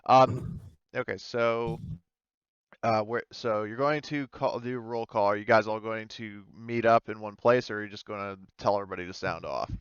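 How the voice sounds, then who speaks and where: A man's voice: lowish voice, fairly even intonation, moderate pace, clear, resonant tone, no echo, highs cut off. A man casually narrating a tabletop roleplaying game as game master, speaking in hesitant, stop-start phrases.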